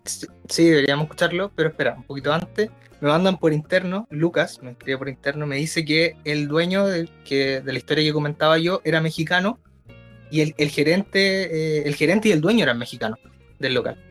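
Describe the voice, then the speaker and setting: Male co-host, Chilean accent, somewhat high register, casual and hesitant discussion, faint music underneath. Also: fairly quick, a bit bright. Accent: Chilean Spanish. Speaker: radio show co-host. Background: background music.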